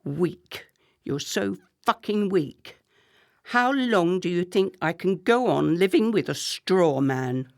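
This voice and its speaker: A woman playing a haughty, scornful and ambitious wife in a radio play, speaking in a measured, cutting way. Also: lowish voice, animated, creaky, heavily acted. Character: cold, scornful, ambitious wife of a failing politician.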